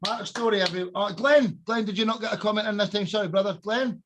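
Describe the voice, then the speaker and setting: A man hosts a music podcast from a video call. His voice sits high and he talks in an upbeat, matey way, calling guests bro and dude. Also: quick pace, background noise. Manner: enthusiastic, matey, calls people bro and dude. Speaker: host. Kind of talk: casual podcast hosting.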